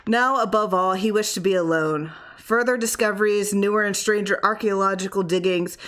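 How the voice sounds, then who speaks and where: A woman reading a literary horror story aloud in expressive audiobook narration. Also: medium pitch, moderate pace. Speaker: audiobook reader.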